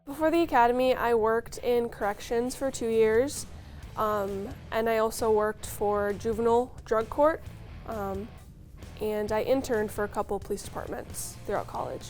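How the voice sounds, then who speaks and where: Recruit, 20s, from Minnesota, higher voice, upbeat delivery. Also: female voice, fairly even intonation, moderate pace, a little mellow, soft background music. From Sartell, Minnesota. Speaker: police academy recruit. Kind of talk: interview answers.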